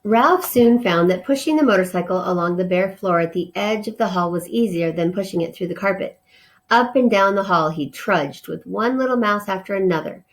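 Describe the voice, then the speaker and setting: Female storyteller, medium pitch, informal read-aloud for children with casual asides. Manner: informal, explains words simply.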